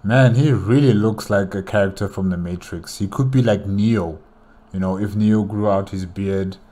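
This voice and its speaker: A man's voice: lowish voice, fairly even intonation, moderate pace, warm tone, a little hiss or hum. A man giving casual, rambling reaction commentary, thinking aloud as he goes. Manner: rambling, repeats "you know", thinking aloud. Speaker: reactor commenting on a video game movie.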